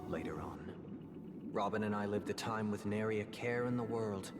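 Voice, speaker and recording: Guy acting as brooding polite game mastermind dude, low soft formal storytelling, super echoey, loud music under it. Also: close to an everyday voice. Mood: wistful, grave. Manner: measured, formal, theatrical, gently persuasive. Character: solemn, soft-spoken young male mastermind, courteous and brooding. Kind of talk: scripted video game dialogue, storytelling monologue. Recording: long echoing reverb, loud music behind.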